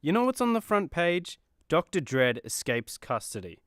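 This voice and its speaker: A petulant, vain male superhero played by a man in a comedy radio play. He grumbles sarcastically in a clean, crisp voice, recorded close and dry. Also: a little high, big pitch swings, normal speed, no echo. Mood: indignant. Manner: indignant, sarcastic griping.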